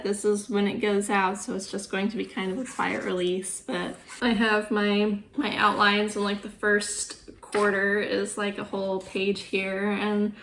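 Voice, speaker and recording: A woman's voice: medium pitch, slight background noise. A woman in her thirties vlogging casually about her writing, sick and congested, with a voice that is going, rambling and thinking aloud. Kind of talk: casual vlog chat.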